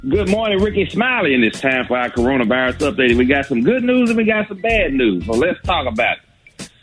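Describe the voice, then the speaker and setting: A man who is a doctor gives a scripted coronavirus update on the radio in a measured, informative way. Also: mid-range voice, big pitch swings, fairly bright tone, soft background music. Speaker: medical guest giving a health update.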